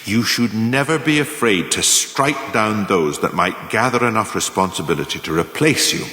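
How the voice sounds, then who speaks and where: A man's voice: on the low side, fairly bright tone. Man playing a pompous manager, echoing hall. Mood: grandly ruthless. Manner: pompous, deliberate, oratorical. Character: scheming pompous senior manager lecturing on ruthless career advancement. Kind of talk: theatrical radio play monologue. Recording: echoey hall with long reverb.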